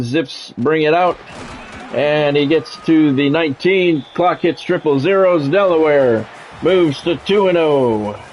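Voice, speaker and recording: A man's voice: on the high side, darkish tone. Man calling sports game action, music behind. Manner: radio-style play-by-play calls in short clipped phrases, with salty asides. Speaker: gaming streamer and play-by-play commentator. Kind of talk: live sports video game commentary mixed with casual chat.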